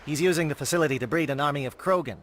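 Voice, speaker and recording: An actor playing a stern, brisk military alien captain in a video game. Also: male voice, a little high, fairly bright tone, slight background noise, lightly acted. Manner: brisk, formal military delivery.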